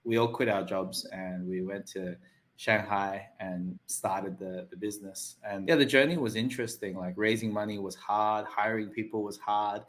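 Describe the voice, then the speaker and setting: Low-voiced man chatting. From Australia. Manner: loose and conversational, with frequent tag questions. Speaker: podcast guest. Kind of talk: casual interview talk.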